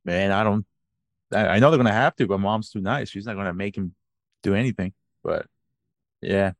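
Young man, late twenties, deep voice, dry close mic, rambling casual chat, swears a lot. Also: moderate pace, no room sound. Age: 20s. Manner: rambling, swears freely, laughs often. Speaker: podcast co-host.

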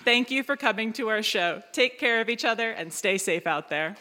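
A woman's voice: fairly high pitch, lively intonation, normal speed, heavy background noise. A woman reading scripted closing credits as a performer at a live show. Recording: echoey live hall, reverberant.

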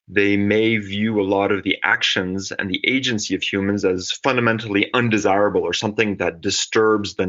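Man from california, middle-aged, lowish voice, talks things through slowly, kinda muffled audio. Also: fairly bright tone. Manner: thoughtful, measured, with restarts. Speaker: ecologist guest. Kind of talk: conversational podcast interview. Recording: dull lo-fi audio.

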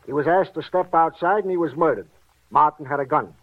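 An actor playing an eager, chatty, fussy police sidekick in a theatrical 1940s radio drama style. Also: male voice, a little high, a little fast, highs cut off, natural voice. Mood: eager reporting. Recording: band-limited vintage radio recording.

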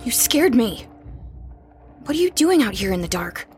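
A woman acting an anxious, resolute young woman in an audio horror drama, speaking in a husky, earnest, urgent hush. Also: a little high, sing-song, normal speed, faint music behind. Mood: uneasy.

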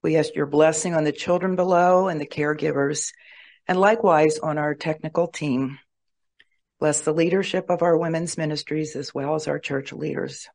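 Someone praying before a bible study, steady middle pitch, reverent and measured. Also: vocal fry. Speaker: prayer leader. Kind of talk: opening prayer.

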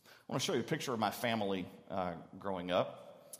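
A man's voice: lowish voice, animated. Man preaching in a reverberant hall. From Tennessee, United States. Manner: dramatic, earnest delivery with deliberate pauses and big swells. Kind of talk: storytelling sermon with dramatic scripture recitation. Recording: echoey hall with long reverb.

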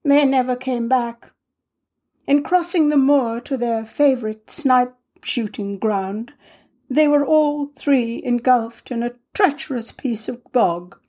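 A woman's voice: a little high, takes it slowly, dark tone, pitched above the performer's own voice. A woman voicing a self-possessed teenage girl who tells a tragic tale in a confiding, faltering, dramatic way for a storybook reading. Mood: grave, dramatic. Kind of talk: storybook character voice in audiobook reading.

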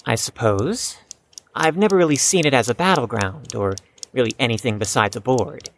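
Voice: mid-range voice, normal speed, slight background noise, natural voice. An actor playing a reserved, defensive young chess prodigy in an audio drama, with a guarded, precise, slightly stiff delivery. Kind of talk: scripted audio drama acting.

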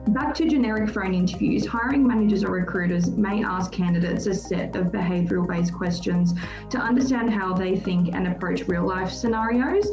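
A woman's voice: mid-range voice, normal speed, a little mellow. A woman recruiter giving a prepared explanatory talk on a podcast. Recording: roomy, dull lo-fi sound. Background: music.

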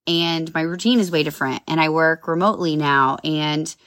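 A woman's voice: low-pitched, moderate pace, fairly bright tone, dry recording, clean studio sound. Congested, chatty woman podcaster. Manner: chatty, upbeat, rambling with asides. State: congested, a little nasal. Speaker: podcast host. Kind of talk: casual solo podcast chat.

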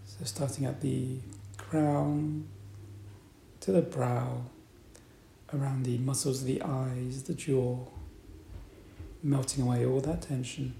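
A man leading a guided meditation as a teacher, speaking in a calm, deliberate manner with long pauses. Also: mid-range voice, vocal fry. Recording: roomy echo, faint background noise.

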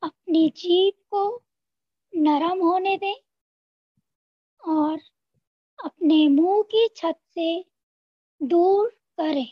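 A woman with an Indian accent narrating a scripted guided meditation, giving gentle instructions in short phrases with long pauses. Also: very high-pitched, no echo, highs cut off.

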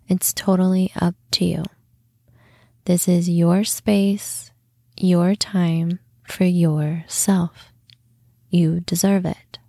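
A woman leads a guided meditation at a very slow pace, leaving long pauses between phrases. Her voice is mellow and slightly low, and her phrase endings soften into breath. The sound is dry and close. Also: creaky, dry recording. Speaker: podcast host and meditation guide.

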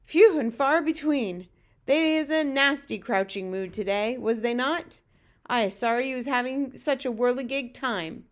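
A woman's voice: fairly high pitch, unhurried, pitched above the performer's own voice, close to an everyday voice. A woman voicing a kindly, flustered male giant from a children's storybook, with folksy garbled grammar and made-up words. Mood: relieved, rueful.

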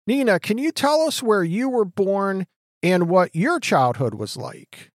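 A man's voice: sits high, big pitch swings, a little slow, dry recording, studio quality. A man hosting an interview, thanking his guests and asking them about their childhoods. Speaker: host. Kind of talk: interview host.

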